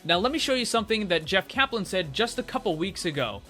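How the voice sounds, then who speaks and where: A man with a high, forceful, bright voice hosts a gaming news commentary. He talks conversationally and explains his ideas straight to the viewer, with faint music playing behind him. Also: very animated, quick pace. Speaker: video game news host. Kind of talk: casual commentary and speculation for viewers. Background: background music.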